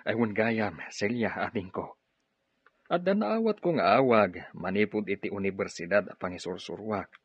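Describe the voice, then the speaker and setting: A gentle middle-aged ilocano dad, husky, dry muffled radio drama sound. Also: male voice, on the low side, animated, normal speed. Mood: gentle, reflective. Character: fatherly retired professor and farm owner, gentle.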